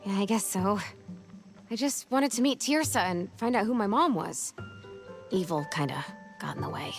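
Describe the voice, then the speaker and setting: A plucky, animated young heroine. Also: female voice, mid-range voice, moderate pace, creaky, over music. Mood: wry, casual. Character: plucky, wry young heroine, warm-hearted. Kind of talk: animated video game cutscene acting.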